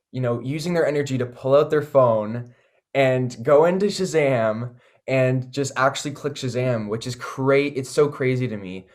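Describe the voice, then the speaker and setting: A young man with a Canadian accent chatting casually and earnestly as an interview guest, leaning on "like" and "you know". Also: medium pitch. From Toronto, Canada. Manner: earnest, filler-heavy with frequent "like" and "you know". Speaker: recording artist, interview guest.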